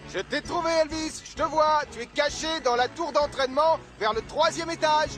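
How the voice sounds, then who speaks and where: An actor playing a good-humoured, encouraging firefighter in a French cartoon dub. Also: male voice, very high voice, moderate pace, fairly bright tone, soft background music.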